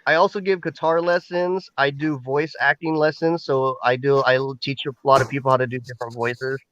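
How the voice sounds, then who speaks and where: A man's voice: mid-range voice, fairly even intonation, fairly quick, fairly bright tone, highs cut off. A man from Northern California, a guest telling stories in a casual chat, rambling with many "like"s and restarts.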